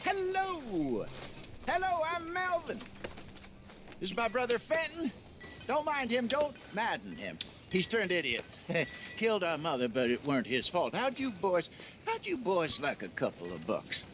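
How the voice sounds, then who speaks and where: Animated, high-voiced older outlaw con man. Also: unhurried, background noise, full character voice. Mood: hawking, folksy. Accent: American. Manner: theatrical, wheedling, teasing. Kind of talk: video game character acting. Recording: loud music behind the voice.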